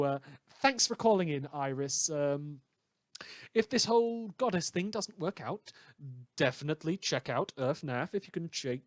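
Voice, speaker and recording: A man's voice: on the high side, dry recording, lightly acted. A man playing a chirpy, wry radio host in a scripted audio drama, with theatrical emphasis. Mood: cheerful. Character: chirpy male pirate radio host devoted to a goddess. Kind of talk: scripted fictional call-in radio broadcast.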